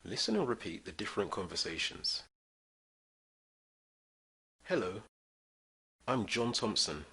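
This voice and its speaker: Slow, lively man reading a lesson. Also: fairly low pitch. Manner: clear drill-style reading of phrases for repetition. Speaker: English teacher. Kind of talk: slow scripted language lesson.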